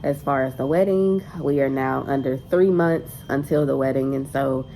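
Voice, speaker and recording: Congested woman, low voice, chatty vlog. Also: normal speed, mellow, slight background noise, low-quality recording. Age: 20s. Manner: chatty, rambling, says y'all. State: congested with a cold. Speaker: vlogger. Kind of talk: casual vlog talk to camera. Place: in a car on the way to errands.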